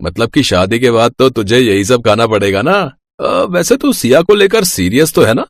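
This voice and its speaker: A man's voice: medium pitch, expressive, normal speed, dry recording, voice pushed down, natural voice. A man voicing a blunt, teasing young college friend in a moral story. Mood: teasing, questioning.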